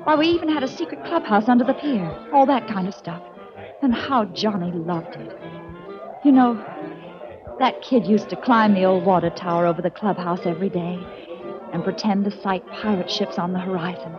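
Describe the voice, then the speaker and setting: A woman's voice: mid-range voice, moderate pace, over music, natural voice. Casting: a woman playing a young singer, earnest and pleading, mid-range voice, lo-fi radio drama. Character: young female nightclub singer, pleading and loyal. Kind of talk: 1940s radio drama acting. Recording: dull old radio recording.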